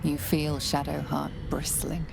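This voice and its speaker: A woman narrating a video game in the second person, using a measured storyteller tone. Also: sits low, completely flat delivery, creaky, background noise, heavily acted.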